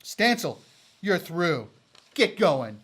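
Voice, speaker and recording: Male actor as radio western gunman, lighter higher register, resolute and weary of it all. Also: very animated, slow, fairly bright tone, husky, heavily acted. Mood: cold, determined. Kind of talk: western radio drama acting.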